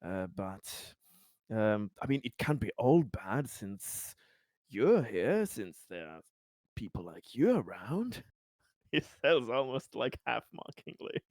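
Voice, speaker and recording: Man roleplaying in halting, courteous phrases, dry sound. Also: medium pitch, big pitch swings, a little mellow, dry recording. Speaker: tabletop roleplaying game player. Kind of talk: improvised in-character dialogue in a tabletop game.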